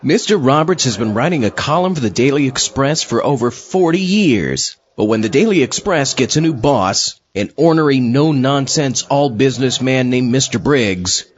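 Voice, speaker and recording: Guy acting as a deadpan undead astronaut host, dry n exasperated, mid pitch, kinda lo-fi. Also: close to an everyday voice. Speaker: podcast host. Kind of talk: comic audio drama and scripted narration.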